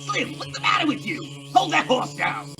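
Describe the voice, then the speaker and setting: A woman playing a rough, panicky male outlaw in an old-time radio western, barking orders. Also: high-pitched, expressive, moderate pace, over music. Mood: alarmed.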